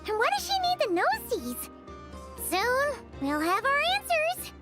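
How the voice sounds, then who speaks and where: A woman voicing a tiny, childlike fairy companion in a video game, perky yet fretful, with a squeaky voice. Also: wildly swooping pitch, a little slow, full character voice. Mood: wistful, anxious. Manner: perky, speaks of herself in third person. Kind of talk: video game character acting. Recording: loud game music behind.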